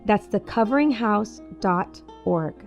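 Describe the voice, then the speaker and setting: Female PSA reader. Noticeable vocal fry, mid-range pitch, scripted appeal over a light music bed. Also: animated, measured pace. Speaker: spokesperson for a charity appeal. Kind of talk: scripted public service announcement.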